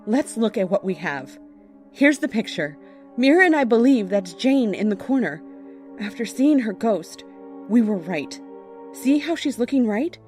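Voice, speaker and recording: A woman's voice: on the high side, very animated, measured pace, darkish tone, soft background music. An actress playing a practical, impatient young woman in an audio horror drama, matter-of-fact and wry. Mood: thoughtful.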